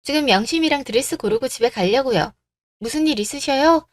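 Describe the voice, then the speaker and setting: A woman plays a composed young bride-to-be in a radio drama. The voice is fairly high and polite, recorded very dry. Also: animated, fairly quick, no echo. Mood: reassuring. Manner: courteous, composed. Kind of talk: Korean radio story drama.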